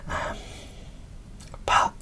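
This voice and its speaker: A woman speaking in a soft, breathy whisper, showing things off with gushing enthusiasm in an ASMR style. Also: extremely slow. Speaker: host of an ASMR doll unboxing channel. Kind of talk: whispered ASMR show-and-tell.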